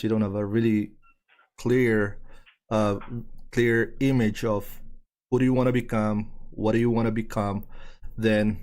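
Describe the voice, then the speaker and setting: A man hosting a business podcast who asks his questions slowly and at length, circling around them. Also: on the low side, darkish tone. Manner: meandering, hesitant, many fillers and restarts. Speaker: podcast host. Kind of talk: casual podcast interview.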